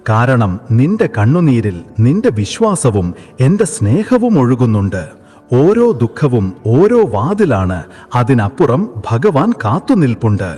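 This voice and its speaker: A man voicing a serene divine teacher who gently consoles a grieving devotee in a slow, soothing devotional monologue. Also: mid-range voice, slightly rough. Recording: long hall reverb with soft music.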